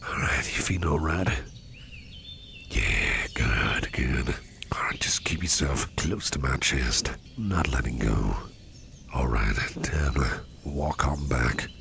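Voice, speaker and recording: A man playing a protective, faintly sinister businessman boss in an ASMR roleplay, speaking in a soothing, unhurried, gravelly half-whisper. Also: very deep voice, lively intonation, noisy background, exaggerated voice. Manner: soothing, unhurried, repeats reassurances. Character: sinister yet protective businessman boss, male, smooth-talking, doting.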